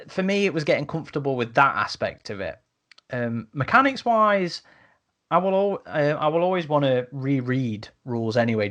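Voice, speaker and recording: A man with a medium-pitched voice talks as a podcast host. He keeps it conversational and casual, often pausing and repeating a word as he works toward his point. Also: a bit bright.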